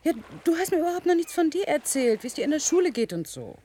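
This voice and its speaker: A woman's voice: high voice, sing-song, fast talker, dark tone. An actress playing a driven, defensive middle-aged career woman and mother in a radio drama. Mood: guarded.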